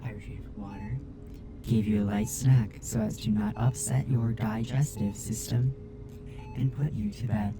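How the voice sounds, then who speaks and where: Caring male robot crewmate played by a man, formal and measured, for ASMR audio drama with music underneath. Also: on the low side, steady tone, gravelly. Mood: gently formal. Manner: formal, precise, measured wording.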